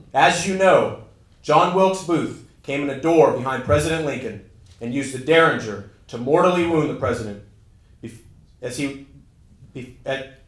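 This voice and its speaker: A man's voice: medium pitch, close to an everyday voice. A man playing a formal congressman who recounts his family history in a scripted first-person monologue on stage. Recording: echoey room, live stage reading.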